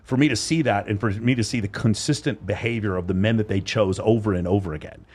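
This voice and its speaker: A man's voice: fairly low pitch, a little mellow, slightly rough. A man in his thirties hosting a casual panel discussion, talking emphatically and often restarting his sentences. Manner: fast, emphatic, repeats words as he restarts. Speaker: podcast host.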